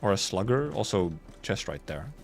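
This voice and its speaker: Male game streamer, low voice, casual rambling commentary muttered to himself, music clearly behind. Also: sing-song, moderate pace. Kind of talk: casual live gameplay commentary.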